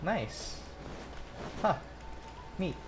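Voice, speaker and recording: A woman's voice: on the low side, big pitch swings, drawn-out words. A young woman giving casual gameplay commentary in short, laid-back murmured reactions. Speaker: gaming video host. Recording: clear background noise, game audio.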